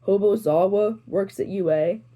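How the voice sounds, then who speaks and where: A woman's voice: mid-range voice, a little slow, mellow, close to an everyday voice. A woman voicing a blunt, incredulous teenage boy in an amateur fan fiction reading. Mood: surprised.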